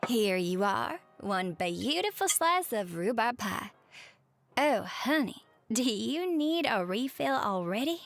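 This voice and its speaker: A cheerful, folksy waitress with a Southern US accent , played by a woman, chats to a late-night customer in a roleplay audio. Her voice sits on the high side and is expressive as she spins her stories. Faint music plays behind her. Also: takes it slowly, full character voice. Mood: cheerful, attentive. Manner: folksy, expressive, uses pet names. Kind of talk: roleplay monologue to the listener, chatty storytelling.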